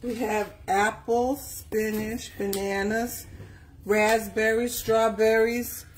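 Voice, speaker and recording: A woman's voice: a little high, little pitch movement, slow, slight background noise. A middle-aged woman chatting casually as she cooks in a home kitchen. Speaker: home cook making smoothies. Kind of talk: casual chat while cooking. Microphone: phone camera a short distance away.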